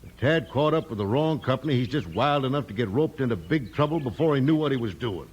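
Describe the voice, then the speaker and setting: An actor playing a worried rancher father with a folksy, halting delivery in a 1950s radio western. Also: medium pitch, expressive, loud music behind the voice, natural voice, rough recording. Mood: worried, frustrated.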